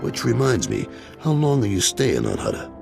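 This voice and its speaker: Gruff older crime boss for a game: blunt, paternal, heavy gravel, music behind. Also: male voice, wildly swooping pitch, normal speed, full character voice. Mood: approving. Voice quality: very deep, gravelly. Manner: blunt, tough-talking, paternal. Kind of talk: video game voice acting.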